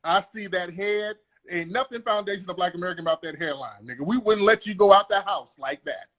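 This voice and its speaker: A man's voice: high voice, a little fast, a bit bright. A man hosting a live call-in room, grilling callers in an aggressive, profane, repetitive way. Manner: aggressive, interrogating, profane, repeats himself. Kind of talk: confrontational live-stream comedy bit.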